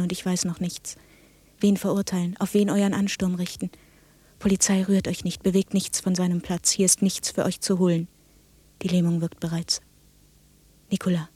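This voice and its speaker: An actress playing a sleepless, obsessed woman in a literary radio drama, speaking in a breathy, husky half-whisper with solemn, insistent phrasing. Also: medium pitch, darkish tone, dry recording, close to an everyday voice.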